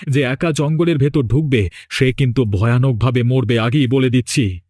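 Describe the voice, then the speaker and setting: A man plays a stern village leader in a horror cartoon. His mid-pitched voice is solemn and commanding, with a very dry sound. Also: normal speed, a little mellow, dry recording, natural voice. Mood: grave, commanding. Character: stern village leader giving grave orders and warnings.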